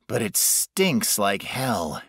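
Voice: mid-range voice, sing-song, drawn-out words, a bit bright, husky, dry recording, studio quality, exaggerated voice. A narrator voicing a trapped young man in an audiobook story, calling out urgently as if from a distance. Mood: uneasy.

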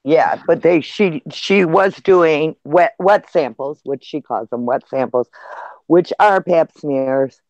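A man talking casually and a little haltingly as a panelist in a live discussion. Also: a little high, a little mellow, no room sound. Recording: muffled, lo-fi call audio.

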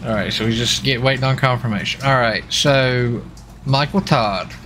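A man in casual, halting game role-play chat, playing a US marshal as he streams. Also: medium pitch. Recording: steady background noise, game vehicle sounds. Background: game vehicle and ambient sounds.

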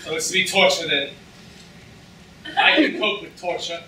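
A man's voice: on the high side, very animated, normal speed. A young man playing a dashing, witty, defiant pirate hero in an amateur staged reading, with a theatrical delivery. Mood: resigned, brave. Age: young adult. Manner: theatrical, declamatory. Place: lecture hall stage. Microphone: far camera. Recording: echoey lecture hall, distant, audience noise.

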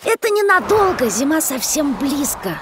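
A woman's voice: sits high, very animated, moderate pace, background noise. A woman voicing a sensible young boy polar bear cub in a children's cartoon, speaking in an earnest, explanatory way. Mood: earnest, assured.

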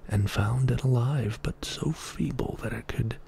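A middle-aged man reading a bedtime story as ASMR in a soft, breathy whisper, hushed and soothing, close to the microphone in a small indoor studio. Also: medium pitch, a little hiss or hum. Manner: slow, hushed, soothing narration.

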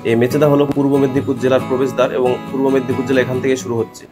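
A man's voice: mid-range voice, flat delivery. A man narrating a train journey as a travel vlogger, explaining sights like a tour guide. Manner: fast, informative tour-guide telling. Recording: music bed under voice-over. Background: guitar music.

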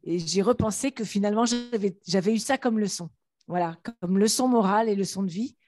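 A woman from a solidarity group asking a long question with many restarts and pauses. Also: medium pitch, darkish tone, no echo. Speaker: member of a solidarity committee.

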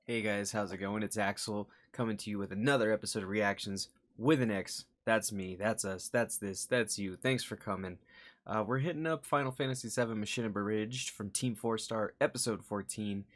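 A man's voice: lowish voice, fairly even intonation, normal speed. Casual man reacting at home. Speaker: reaction video host. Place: small bedroom or home room, indoors.

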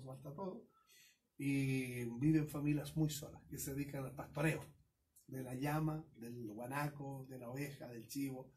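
A Chilean man with a slightly high voice hosts a relaxed radio conversation. He tells stories at length and keeps affirming his guests with quick agreements. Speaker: radio host.

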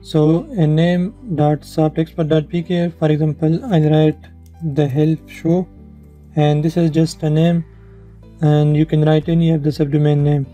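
Very dark-voiced man narrating a tutorial. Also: on the high side, little pitch movement, unhurried. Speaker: tutorial presenter. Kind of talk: instructional screen-share narration. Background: background music.

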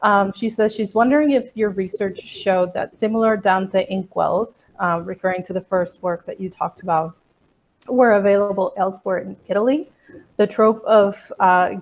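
A middle-aged woman hosting a museum Q&A on a video call, speaking in a measured way with restarts. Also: mid-range voice, darkish tone. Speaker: museum host. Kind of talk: moderating a Q&A. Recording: dull lo-fi video call audio.

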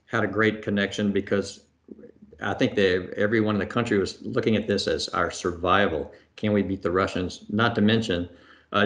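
A middle-aged man answering questions in a relaxed conversational way, pausing often with fillers and restarting phrases, over a video call from a room at home. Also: on the low side. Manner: thoughtful, many fillers and restarts. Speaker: astronaut guest. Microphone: video call webcam.

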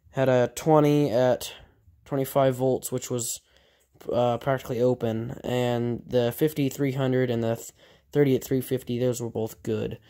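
A man talking casually off the cuff like a hobbyist repairer showing his work, halting and correcting himself as he thinks aloud. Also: medium pitch, a little mellow. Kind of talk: casual off-the-cuff workshop vlog. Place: indoor workshop at a cluttered bench.

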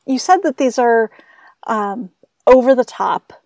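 A woman's voice: fairly high pitch, lively intonation, measured pace, a little mellow, no echo. A woman hosting a radio interview show, asking questions and addressing the audience. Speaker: radio host.

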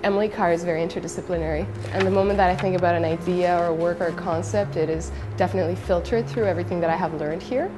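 A woman's voice: lowish voice, fairly even intonation, racing, hardly any pauses. A woman from Mexico City, an art student speaking eagerly and fluently in an interview testimonial. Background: music.

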